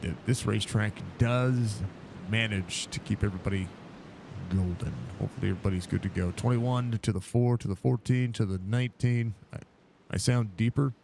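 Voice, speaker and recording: A man with a stuffed-up, gravelly low voice calls a live online race, rambling with fillers and getting excited at every crash. There is a faint hiss of noise behind him as he talks into his home setup. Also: normal speed. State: stuffy, congested. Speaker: sim racing broadcaster.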